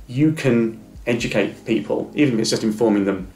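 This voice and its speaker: A man's voice: medium pitch, brisk, mellow. A middle-aged man who stammers, giving earnest, encouraging advice in a reflective interview. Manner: stammers with repeated words and restarts. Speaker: interview guest. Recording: echoey room with faint music.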